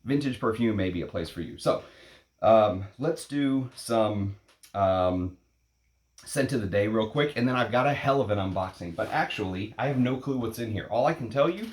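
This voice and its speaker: A man in his late thirties hosting a perfume vlog, talking casually in a rambling, opinionated way, blunt and quick to swear. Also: on the low side, expressive. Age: 30s. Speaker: perfume channel host. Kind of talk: casual unscripted vlog talk. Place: at home in Texas.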